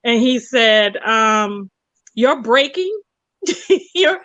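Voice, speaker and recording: A woman's voice: a little high, animated, very slow, a bit bright, dry recording, muffled recording. A woman talking conversationally and explaining things emphatically through anecdotes and analogies.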